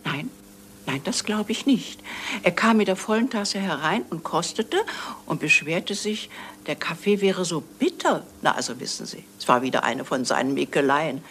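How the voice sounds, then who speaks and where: Gossipy, animated older spinster aunt. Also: female voice, fairly low pitch, moderate pace, a little hiss or hum. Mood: chatty, indignant. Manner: rambling, effusive, gossipy. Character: chatty, fussy English spinster aunt, older, eager. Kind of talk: German crime radio play acting.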